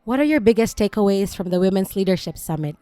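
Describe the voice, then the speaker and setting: A woman hosting a podcast, reading a scripted intro and outro and asking interview questions in a measured, read-aloud way. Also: medium pitch, lively intonation, dark tone, no echo. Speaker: podcast host.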